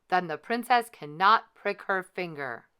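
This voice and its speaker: Woman playing a forceful, commanding king. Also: fairly low pitch, lively intonation, a little slow, clean tone. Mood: decisive. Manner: emphatic, commanding. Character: commanding, protective male storybook king.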